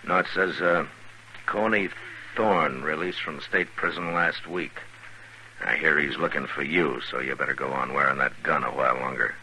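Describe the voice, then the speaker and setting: An actor playing a calm, steady frontier marshal in a 1950s radio western. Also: male voice, extremely low pitch, normal speed, exaggerated voice. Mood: grave, calm. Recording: noisy old radio broadcast recording.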